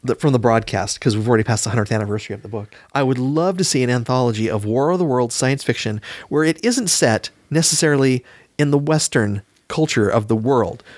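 A man's voice: mid-range voice, a little fast, dry recording. A middle-aged man co-hosting a podcast in casual discussion. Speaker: podcast co-host.

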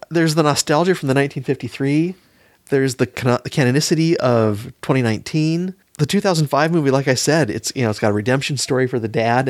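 A man's voice: medium pitch, no room sound, studio quality. A middle-aged man co-hosting a podcast in casual discussion. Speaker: podcast co-host.

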